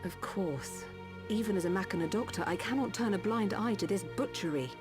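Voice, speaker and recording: An actress playing a calm, dutiful village doctor of a machine race in a video game cutscene, over music. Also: female voice, medium pitch, a little fast, lightly acted. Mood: grave, clinical.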